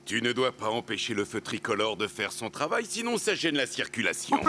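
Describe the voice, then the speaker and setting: An actor voicing an earnest, scolding but kind officer in a children's cartoon, with a lecturing, theatrical delivery. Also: male voice, medium pitch, sing-song, a little fast, over music. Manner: lecturing, theatrical, swinging intonation.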